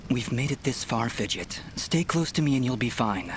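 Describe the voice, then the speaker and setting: A man's voice: medium pitch, lively intonation, normal speed, slight background noise, close to an everyday voice. A man voicing an earnest, resolute young swordsman hero in a video game, protective and determined. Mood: reassuring. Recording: music behind the voice.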